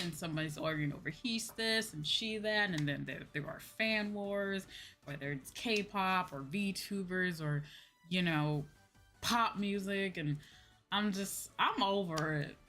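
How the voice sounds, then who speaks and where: A woman's voice: fairly low pitch, pushed and bright. A woman streamer chatting casually to her livestream, with an exasperated, venting manner and some casual swearing. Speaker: VTuber streamer, host.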